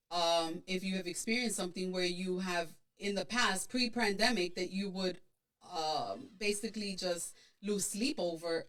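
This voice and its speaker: A woman's voice: on the low side, a bit bright, low-quality recording. A woman co-hosting a podcast, chatting casually and reading out audience comments, with many restarts and fillers. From Puerto Rican background. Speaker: podcast co-host.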